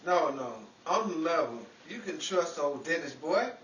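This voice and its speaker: A man's voice: a little high, expressive, normal speed, fairly bright tone. A man playing a well-meaning, scatterbrained schemer who is forever in trouble, in an old-time 1940s radio comedy style. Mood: eager persuading. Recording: echoey, distant, noisy room recording.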